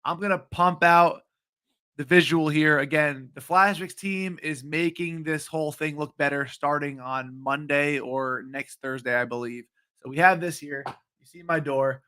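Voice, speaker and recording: Slightly hoarse young guy talking bets. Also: fairly high pitch, fairly even intonation, a little slow, pushed and bright, no room sound, studio quality. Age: late 20s. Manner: casual, rambling, many ums. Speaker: sports betting show host. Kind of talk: live-streamed betting picks talk.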